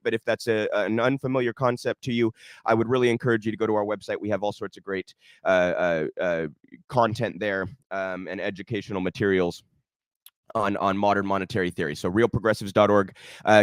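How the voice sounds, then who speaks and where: A man's voice: lowish voice, dry recording. A man with a stuffy, congested voice from a cold, hosting a casual, sarcastic political commentary show with many ums.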